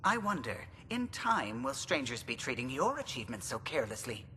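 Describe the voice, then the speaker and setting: A man's voice: very animated, a little fast, sharp tone. A man playing a prim, indignant alien starship pilot in a video game, precise and reproachful. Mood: bitter. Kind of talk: video game cutscene acting. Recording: reverberant cutscene with faint rumbling noise.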